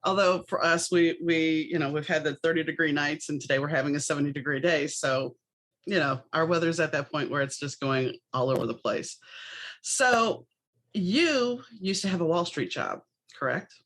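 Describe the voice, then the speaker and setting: A woman's voice: low voice, normal speed, fairly bright tone. A woman podcast host talking in a conversational, chatty, anecdotal way.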